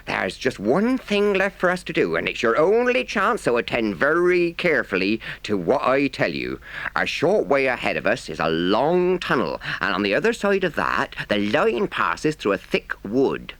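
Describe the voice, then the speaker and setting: A man's voice: mid-range voice, wildly swooping pitch, normal speed, fairly bright tone, no room sound, pitched above the performer's own voice. A man voicing a kindly but stern working-class engine driver in a storybook, folksy and emphatic. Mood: urgent. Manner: plain-spoken, emphatic, folksy. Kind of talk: storybook character voice.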